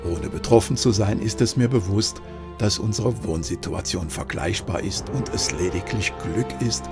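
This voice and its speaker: A man reading scripted documentary narration in a formal, earnest, measured manner. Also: lowish voice. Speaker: narrator and filmmaker. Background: background music.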